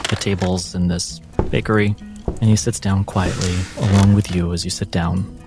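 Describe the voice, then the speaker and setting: A man narrating a tabletop roleplaying game as game master, in a measured, deliberate way. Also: sits low. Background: music.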